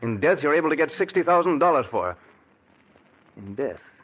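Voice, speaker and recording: A man's voice: on the high side, sing-song, fairly quick, a bit bright, breathy edge, full character voice. An actor playing a haunted, guilt-ridden man in a 1940s radio drama who grows coldly insistent. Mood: accusing. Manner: brooding, then coldly insistent. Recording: old radio broadcast recording.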